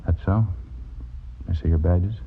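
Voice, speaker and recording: A man's voice: low-pitched, measured pace. A man playing an eager, stubborn old circus hand in a radio drama, with folksy, rambling, slangy speech. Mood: eager, confiding. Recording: dull, faintly noisy vintage radio recording.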